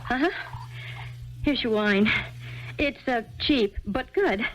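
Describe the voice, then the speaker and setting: An actress playing a calm, slightly eerie eighteen-year-old daughter in a 1970s radio drama, placid and matter-of-fact. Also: female voice, on the high side, slow pace. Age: young adult. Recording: dull lo-fi 1970s radio broadcast.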